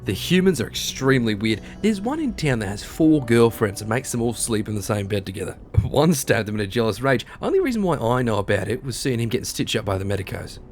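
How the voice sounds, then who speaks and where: A man's voice: mid-range voice, sing-song, fast. A man voicing a cynical, gossiping male creature soldier in a fantasy audiobook. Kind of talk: audiobook character acting.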